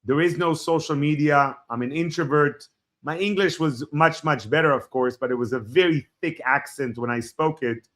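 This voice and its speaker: A man in his forties from Israel, a Hebrew speaker, telling his life story as a podcast guest in a reflective, halting way. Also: on the high side, fairly bright tone. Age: 40s. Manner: reflective, halting starts with frequent fillers. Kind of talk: personal storytelling in an interview.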